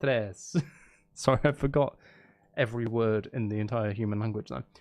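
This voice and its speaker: A man's voice: on the low side, steady tone, moderate pace, darkish tone. A man chatting casually on a live stream, rambling and thinking aloud in a hesitant way. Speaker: live streamer composing game music.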